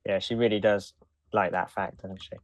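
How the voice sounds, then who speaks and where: A man with a fairly low voice chats as a podcast co-host. His delivery is casual and conversational, and the sound is very dry, with no room echo. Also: steady tone, moderate pace. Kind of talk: casual chat.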